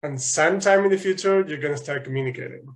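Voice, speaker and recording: A man from Spain, telling lively stories as a guest in a casual interview. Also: on the high side, quick pace, slight background noise. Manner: animated storytelling, frequent restarts and laughs.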